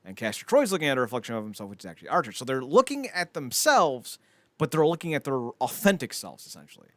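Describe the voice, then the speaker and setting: A man's voice: medium pitch, sing-song, fast talker, fairly bright tone, dry recording. A man chatting casually as a podcast host, rambling, opinionated and freely profane. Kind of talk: casual podcast chat about films and entertainment news.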